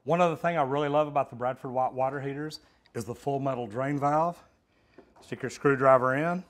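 A man's voice: mid-range voice, brisk. Plain-spoken man demonstrating plumbing. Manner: plain-spoken, practical, addresses viewers as "guys". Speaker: plumber and instructor. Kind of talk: hands-on instructional demonstration. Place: metal storage unit, indoors. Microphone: lapel mic.

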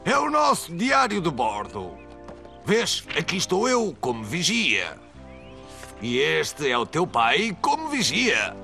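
A playful old grandfather from a children's cartoon, playing ship's captain. His European Portuguese voice is gravelly and fairly high for a man, with a bright edge. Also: huge pitch swings, slow, faint music behind, heavily acted. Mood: warm, encouraging. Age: older.

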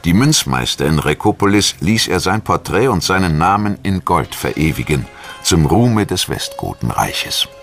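A man reading scripted documentary narration in a measured, formal manner, as a studio voice-over. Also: deep voice, big pitch swings, a bit bright. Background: music.

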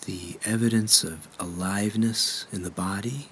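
A man leading a guided meditation in a gentle, unhurried way with measured pauses. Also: on the low side, fairly even intonation, a little hiss or hum.

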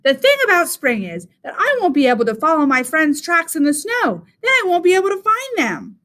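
Grumpy storybook rabbit played by a woman: male, fretful complainer, high and animated. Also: exaggerated voice. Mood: grumbling. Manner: complaining, drawn-out lead-ins.

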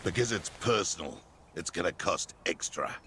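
A man's voice: deep voice, lively intonation, normal speed, a little hiss or hum. An actor playing a cocky, greedy male mercenary in a fighting game, brash and mocking. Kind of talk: fighting game character dialogue. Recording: game audio with loud background effects.

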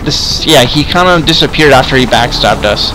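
A man chatting casually in improvised game roleplay, rambling and often repeating words haltingly. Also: medium pitch, expressive, sharp tone, poor audio. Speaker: host and player in a roleplay game video. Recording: music behind the voice. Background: music.

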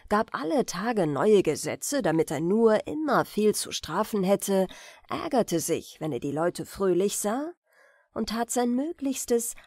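A woman reading fairy tales as an audiobook narrator, with an expressive storytelling delivery. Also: medium pitch, no echo, clean studio sound. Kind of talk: bedtime fairy-tale reading, scripted narration.

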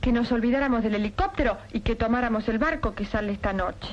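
An actress playing a sharp-tongued young woman with a Rioplatense accent, in a 1960s film. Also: fairly high pitch, fast talker, lo-fi sound, lightly acted. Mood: tart. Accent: Rioplatense Spanish. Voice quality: tart, complaining.

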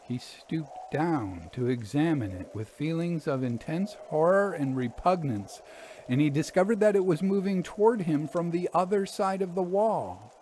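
A man narrating scary stories in a suspenseful, deliberate, theatrical manner. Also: fairly high pitch, animated, warm tone, a little hiss or hum. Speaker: narrator, actor and author. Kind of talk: dramatic scripted ghost story narration.

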